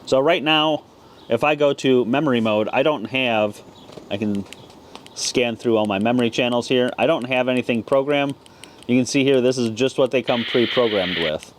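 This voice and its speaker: A man's voice: mid-range voice, moderate pace. A man giving a casual, plain-spoken, step-by-step product tutorial as a video host. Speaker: ham radio video host. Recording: clear background noise.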